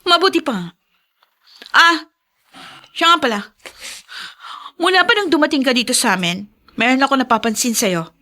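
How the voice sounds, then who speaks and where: A woman's voice: sits high, expressive, normal speed, long pauses, bright, forceful tone. A woman playing a haughty, snappish young mayor's daughter in a Filipino radio drama. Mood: haughty, annoyed. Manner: snappish, imperious.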